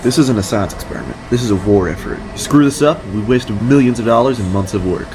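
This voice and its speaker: A man playing a gruff, hard-nosed army colonel in an audio drama, blunt and commanding. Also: on the low side, lively intonation, fast, background noise. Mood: stern, threatening.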